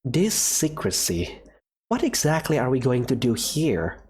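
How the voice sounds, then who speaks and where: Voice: mid-range voice, very animated, unhurried, darkish tone, soft background music. A voice actor playing a cautious young male student who talks in a hesitant, worried, stammering way. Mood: wary. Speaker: player character in a fan visual novel.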